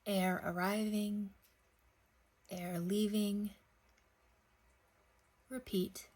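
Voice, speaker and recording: A woman leading a guided sleep meditation, speaking gently in unhurried phrases with long pauses. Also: mid-range voice, level tone.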